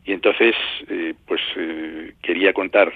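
A middle-aged or older man from Madrid with a Castilian accent, answering radio interview questions in a halting, measured way, searching for words. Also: sits low, steady tone, slightly rough, no room sound. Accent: Castilian Spanish from Madrid. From Madrid, Spain. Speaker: journalist and novelist, interview guest. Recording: muffled phone line into radio show.

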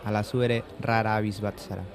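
A man's voice: lowish voice, flat delivery, fairly quick, natural voice. A man playing a wry, bookish young university student in a radio serial. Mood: wry, teasing.

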